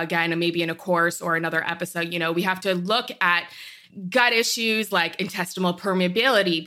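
A woman's voice: fairly low pitch, pushed and bright. A woman guest on a podcast, earnestly explaining health topics and listing remedies, with frequent "you know.". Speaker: podcast guest, trauma biology expert.

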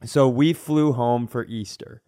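A man's voice: mid-range voice, unhurried, warm tone, dry recording, clean studio sound. A man in casual podcast chat, telling personal stories in a frank, conversational, comic way. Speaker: podcast co-host and comedian.

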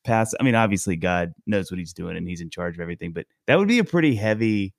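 A man's voice: sits low, expressive, fast talker, vocal fry, no echo, clean studio sound. A man hosting a podcast, musing aloud in a playful, self-deprecating way. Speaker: podcast host. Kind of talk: casual podcast chat.

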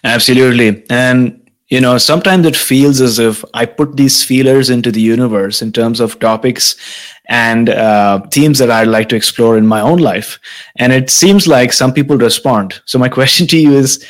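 Host: adult man, mid-range pitch, earnest and upbeat interviewer who warmly invites his guest. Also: moderate pace. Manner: earnest and enthusiastic, with light laughs. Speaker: podcast host. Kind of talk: conversational interview hosting.

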